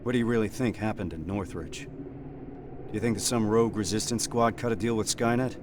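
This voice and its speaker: An actor playing a stern, dutiful resistance squad sergeant with a commanding military manner in a video game. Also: male voice, lowish voice, normal speed, noisy background, close to an everyday voice. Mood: grave, probing. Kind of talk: dramatic cutscene acting. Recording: game music behind the voice.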